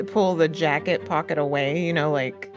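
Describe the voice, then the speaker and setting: A woman playing a tabletop roleplaying game, casually improvising and thinking aloud in a halting way. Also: deep voice, fairly even intonation, highs cut off, over music.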